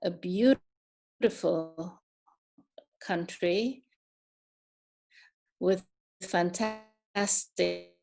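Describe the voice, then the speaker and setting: A middle-aged woman from Iran giving an online talk on her career, speaking thoughtfully with many pauses, fillers and restarts. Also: on the low side, gravelly, a little mellow, low-quality recording. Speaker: guest speaker, neuroscientist.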